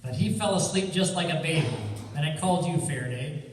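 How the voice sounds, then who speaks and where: A man's voice: a little high, fairly quick, close to an everyday voice. A man playing a cocky, wisecracking amateur detective in an old-time radio drama, with breezy, sardonic banter. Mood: smug, breezy. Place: college stage radio theatre performance. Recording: echoey hall from far off, faint noise.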